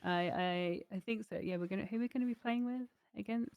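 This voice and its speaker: A woman who has nearly lost her voice answers post-match questions, croaky and a little worn but cheerful, laughing often between rambling answers. Her voice sits in a middle range and sounds close and dry, with no room around it. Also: medium pitch, brisk, no room sound, narrow, tinny audio. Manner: laughs easily, upbeat, rambling. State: hoarse, losing her voice. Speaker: team captain being interviewed. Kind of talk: casual post-match interview.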